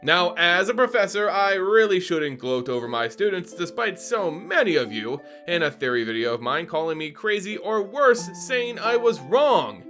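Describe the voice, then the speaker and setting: A man narrates a fantasy story with theatrical, playful flair. His voice is bright and lively, rising and falling a lot, with soft music playing underneath. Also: high voice, moderate pace, hardly any pauses. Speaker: channel host and narrator. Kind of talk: dramatic story reading.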